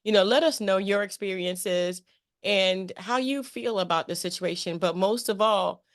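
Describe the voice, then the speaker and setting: A woman's voice: mid-range voice, moderate pace. A woman hosting a casual interview in a chummy, emphatic way, often repeating phrases for emphasis. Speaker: interview show host.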